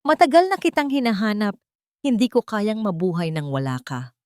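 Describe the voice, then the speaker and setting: A woman's voice: mid-range voice, expressive, normal speed, darkish tone, no room sound, clean studio sound, pitched above the performer's own voice, close to an everyday voice. A woman storyteller voicing a devoted, humbled man in love, speaking tenderly in an audio romance. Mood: longing. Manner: tender, earnest.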